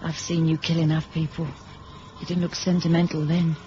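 A woman's voice: low voice, level tone, moderate pace, warm tone, lightly acted. An actress playing a feisty, teasing woman, companion to a spy in a 1970s radio drama. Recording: dull, noisy old radio recording.

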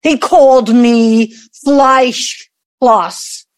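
A woman's voice: on the high side, fairly even intonation, very slow. A woman acting in an audio play as a defensive, irritated grown daughter, curt, guarded and sarcastic.

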